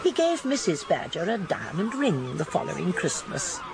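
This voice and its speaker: Elderly English spinster, shrewd, genteel, precise, expressive pitch, radio drama, faint background noise. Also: female voice, moderate pace, gravelly, exaggerated voice. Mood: shrewd, gossipy. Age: older. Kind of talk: British radio drama acting.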